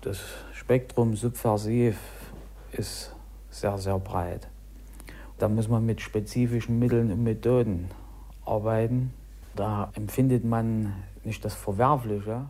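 A man explains himself matter-of-factly and deliberately. His voice is fairly low and dark, delivered very slowly. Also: steady tone. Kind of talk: matter-of-fact testimony.

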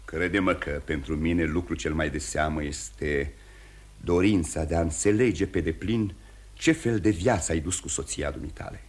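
An actor playing a tactful, reserved prince in a radio play, speaking in a measured, formal and polite way. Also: male voice, rumbling low. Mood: attentive, polite. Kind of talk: mid-century Romanian radio theatre acting.

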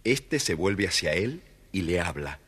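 A man narrating a children's story from a script in an expressive, dramatic storytelling manner. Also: deep voice, a little slow, breathy edge.

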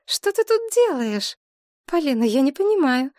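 A scornful middle-aged mother, put on by a woman reader, her voice pitched up high and sharp. She talks down with cutting, indignant mockery. The recording is dry and close. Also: very animated, normal speed, no room sound. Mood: bewildered. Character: offended middle-aged mother, mocking and defensive.

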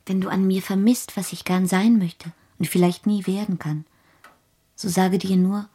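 A woman plays a childlike young wife in a radio drama. Her voice is mellow and airy, often close to a whisper. She pleads tenderly and naively, like a girl. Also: mid-range voice, steady tone, normal speed, natural voice. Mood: tender, wistful. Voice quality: breathy, dropping into whispers. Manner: soft, girlish pleading. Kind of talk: German radio play acting.

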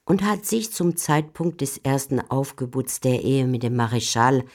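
A woman giving measured, composed scripted narration for a literary radio drama. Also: very deep voice, lively intonation, darkish tone. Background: faint music.

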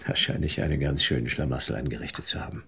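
A man's voice: extremely low pitch, quick pace, vocal fry, bright, forceful tone, a little hiss or hum, exaggerated voice. An actor playing a weary, defensive husband pleading with his wife in a German radio drama.

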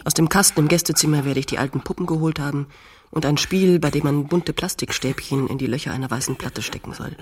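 An actress playing an impatient, practical woman in a radio play, with a husky, creaky voice and a terse, insistent manner. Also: deep voice, fast, darkish tone. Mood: narrating, matter-of-fact. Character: impatient, practical woman urging her weary partner on.

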